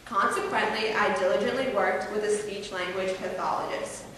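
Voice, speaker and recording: A woman's voice: medium pitch, steady tone, moderate pace, a bit bright, slight background noise. A teenage girl with a mild stutter gives a formal prepared speech to an audience. She speaks carefully and sometimes repeats a word. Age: teens. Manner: formal, carefully paced, occasional repeated words. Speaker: student speaker. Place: large hall, heard from far off. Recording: roomy hall echo from a distant mic.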